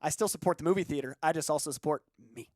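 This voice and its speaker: A man's voice: fairly high pitch, racing, a little mellow, no echo, clean studio sound. A man in his thirties, a co-host chatting casually and a little self-deprecatingly while still getting over an illness. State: recovering from illness. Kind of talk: casual chat.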